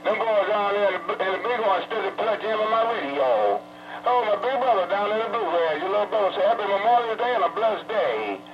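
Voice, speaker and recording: A man's voice: high-pitched, expressive, a little fast. A man from Detroit talking on CB radio, loose and friendly, full of handles, slang and blessings, heard through a radio speaker. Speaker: CB radio operator. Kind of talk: casual CB radio banter. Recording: dull lo-fi CB radio audio with loud music.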